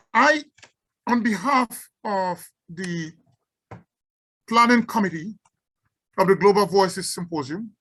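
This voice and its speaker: A woman's voice: mid-range voice, a bit bright, low-quality recording. A woman from Cameroon with a Cameroonian accent, giving an unscripted symposium talk and taking questions, often stumbling and repeating words. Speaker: symposium speaker and researcher.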